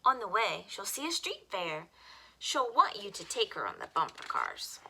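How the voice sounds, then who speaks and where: A woman reading picture books aloud to young children as a story time host, with expressive, simple phrasing. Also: medium pitch, moderate pace, slightly rough, poor audio.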